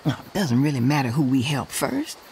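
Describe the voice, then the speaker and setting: A woman's voice: extremely low pitch, big pitch swings, brisk, background noise, exaggerated voice. An actress playing a confident, mysterious frontier woman in a video game, with a cool, teasing manner. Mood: sly, teasing. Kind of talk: video game western acting. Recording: music behind the voice.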